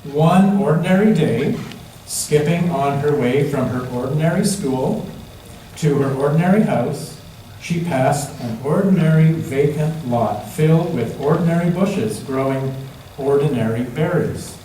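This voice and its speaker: A man reads a children's story in a slow, slightly high voice, pausing to repeat words and ask the young listeners questions. The sound is heavily reverberant, as if picked up across a large room. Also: dark tone. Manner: interactive, repeats children's words and asks them simple questions. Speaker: children's story reader at a church service. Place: large echoey room, church. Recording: echoey room, distant mic, faint noise.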